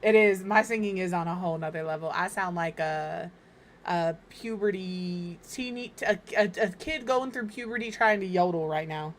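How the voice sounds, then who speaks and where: A woman from Minnesota streaming from home, chatting casually and rambling, her voice slightly hoarse and crackly as it recovers. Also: lowish voice, normal speed. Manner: rambling, chatty, self-deprecating. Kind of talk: casual chat while gaming.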